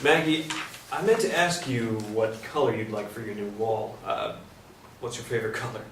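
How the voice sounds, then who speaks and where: A man's voice: mid-range voice, lively intonation, normal speed, lightly acted. A man playing a blunt but considerate carpenter in a staged play reading. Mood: gentle, awkward. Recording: roomy, distant mic, faint noise.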